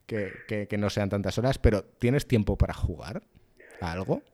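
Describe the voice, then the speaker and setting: A man's voice: lowish voice, expressive, normal speed, warm tone. A man with a European Spanish accent, speaking casually as the host of a podcast interview.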